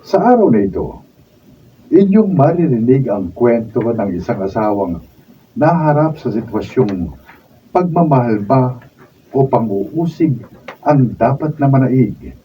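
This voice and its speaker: Slow, dramatic Filipino male announcer. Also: mid-range voice, expressive. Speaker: announcer narrator. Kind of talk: dramatic scripted radio drama introduction. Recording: dull, distant, faint noise.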